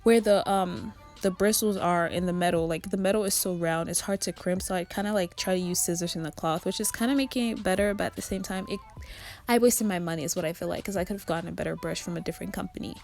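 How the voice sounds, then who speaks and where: A young woman with a raspy, just-woken voice, rambling and self-critical as she narrates a vlog into her phone. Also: on the low side, fast talker, a little mellow, soft background music. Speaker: nail art vlogger. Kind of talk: casual voice-over narration.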